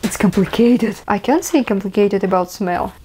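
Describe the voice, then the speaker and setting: A woman's voice: mid-range voice, normal speed, dark tone, slight background noise. A young woman from Russia with a Russian accent, chatting casually while unboxing a haul in her bedroom, marvelling at each item. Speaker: vlogger. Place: small bedroom, indoors.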